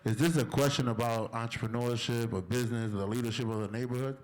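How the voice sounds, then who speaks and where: Fast, emphatic panel speaker. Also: male voice, medium pitch, fairly even intonation, rough recording. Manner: emphatic, repetitive, direct. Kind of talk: motivational talk at a panel.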